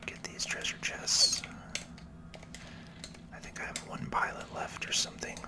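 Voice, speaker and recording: A person whispering relaxed, unhurried ASMR commentary while playing a video game. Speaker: video game player and channel host.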